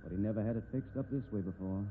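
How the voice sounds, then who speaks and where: An actor playing a shrewd, steady American western investigator in a 1950s radio drama, drawling in a dry, folksy, probing way. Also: male voice, on the low side, racing, lightly acted. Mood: probing, firm. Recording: narrow-band old radio recording, background noise.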